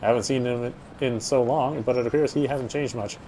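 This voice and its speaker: A man reads visual novel narration aloud in a mellow, medium-pitched voice. He sometimes trips over a phrase and reads it again. There is faint noise and rumble. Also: fairly even intonation, a little fast, poor audio. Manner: stumbles and rereads phrases. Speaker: game player reading narration aloud.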